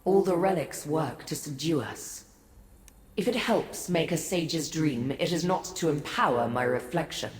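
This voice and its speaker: A proud, bitter demon princess from a fantasy game, low in pitch and very measured. Her diction is formal and imperious, and the words ring out in a long reverb. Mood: resentful. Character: proud, bitter female Daedric prince awaiting imprisonment. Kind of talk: fantasy video game voice acting. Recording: roomy echo with long reverb, music behind.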